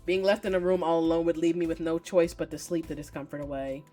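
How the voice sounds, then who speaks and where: A woman's voice: deep voice, flat delivery, fast talker, warm tone, soft background music, natural voice. A woman reading aloud as a wary, weary young man narrating a visual novel, guarded and dry.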